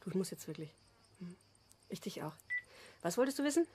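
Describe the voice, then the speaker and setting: A woman's voice: on the low side, sing-song, fairly quick, noisy background. An actress playing a harried working mother in a German crime radio drama. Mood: affectionate.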